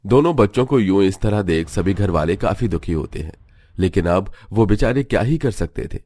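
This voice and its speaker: A man's voice: sits low, expressive, normal speed, dark tone, no echo, clean studio sound. A man narrating a scripted cartoon story as the storyteller.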